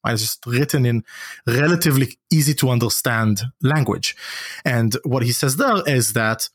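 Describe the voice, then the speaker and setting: A native Hebrew-speaking man in intellectual conversation, with a middle-pitched voice and a dry recording free of room sound. He talks discursively as a podcast guest, often tagging his points with a quick check for agreement. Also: animated, dry recording.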